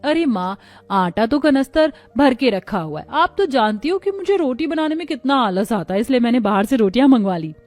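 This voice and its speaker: Young breezy cartoon bride, voiced by a woman, high pitch, work-shy temperament. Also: sing-song, moderate pace, a little mellow, faint music behind. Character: young lazy, breezy daughter turned anxious new bride.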